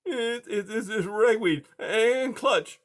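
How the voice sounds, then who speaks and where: A timid little male storybook mouse, played by a woman, pitched well above normal speech. He stammers and whimpers haltingly, pleading in fright. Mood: frightened, halting. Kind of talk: children's storybook character voice.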